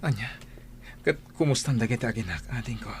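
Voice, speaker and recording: A man's voice: mid-range voice, lively intonation, fast, slightly rough. An actor playing an anxious, troubled young father in an Ilocano audio drama. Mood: longing. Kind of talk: Ilocano radio-style audio drama acting.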